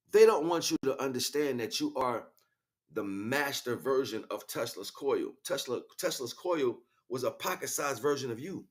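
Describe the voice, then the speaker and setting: A man improvising a spiritual lecture as a teacher, speaking emphatically, repeating key words and checking in with rhetorical questions. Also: medium pitch. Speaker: spiritual teacher and livestream host.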